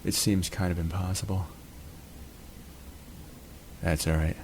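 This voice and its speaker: A man's voice: sits low, level tone, slow pace, creaky, darkish tone, slight background noise. A man hosting his own channel, casually narrating a match from home in a laid-back, jokey, chatty way. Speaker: gaming channel host. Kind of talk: relaxed gameplay commentary.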